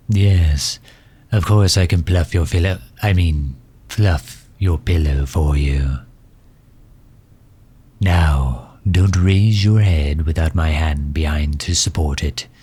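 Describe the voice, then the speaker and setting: Casting: male actor as courtly villain for ASMR roleplay, solicitous and tender, very dark mellow husky bass, unhurried delivery. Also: a little hiss or hum. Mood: tender, attentive. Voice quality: husky, breathy low voice. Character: doting megalomaniac villain, formal, gently tending injured nemesis. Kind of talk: ASMR roleplay, intimate acting.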